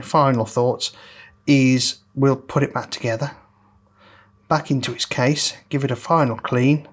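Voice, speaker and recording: A man hosting a repair video, casually explaining each step as he works through a hands-on demonstration. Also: medium pitch. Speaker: host of a vintage audio repair video.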